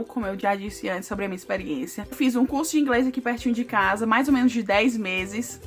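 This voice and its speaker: A woman's voice: on the high side, fairly bright tone. Chatty Brazilian woman vlogger, faint music. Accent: Brazilian Portuguese. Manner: chatty, addresses viewers as "gente", frequent "né" and "sabe". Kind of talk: casual chat to camera.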